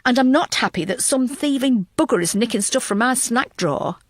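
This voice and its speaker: A woman's voice: fairly high pitch, expressive, brisk, no echo, pitched above the performer's own voice. A woman voicing a blunt, motherly, protective female detective in an audiobook. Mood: grumbling.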